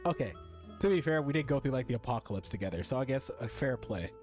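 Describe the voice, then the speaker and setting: A man's voice: medium pitch, expressive, fast, darkish tone, faint music behind. A young man giving casual, slangy let's-play commentary with a sarcastic, irreverent manner. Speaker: gaming streamer reacting to a game.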